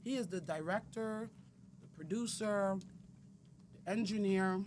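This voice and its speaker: A woman's voice: medium pitch, unhurried, a little mellow, a little hiss or hum. Blunt outspoken woman in her thirties. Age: 30s. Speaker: guest. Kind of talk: casual podcast chat.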